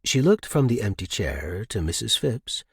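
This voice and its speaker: A man's voice: fairly low pitch, very animated, moderate pace, gravelly, slightly rough, no echo, studio quality. A man reading scripted fiction as an audiobook narrator.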